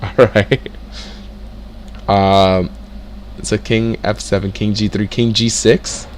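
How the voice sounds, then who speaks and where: Low-voiced young man thinking aloud. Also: takes it slowly, slight background noise. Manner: reads out moves, breaks into excited exclamations. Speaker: chess tutor. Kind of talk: casual screen-share lesson, thinking aloud.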